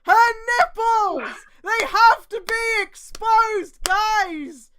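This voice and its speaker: A woman's voice: very high voice, drawn-out words, background noise. A woman streamer reading fan fiction aloud in a mocking, theatrical way, with exasperated, sweary asides. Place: indoors on a live stream.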